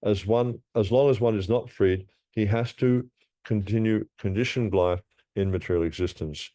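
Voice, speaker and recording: A man's voice: on the low side, moderate pace, mellow. A man giving a scripture class, reading verses aloud and explaining them with frequent restarts and repeated words.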